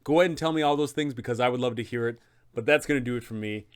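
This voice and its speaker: A man's voice: medium pitch, racing, smooth. A man hosting a game master's guide, narrating in an emphatic, dramatic storyteller's style. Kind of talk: scripted, dramatic explanatory narration.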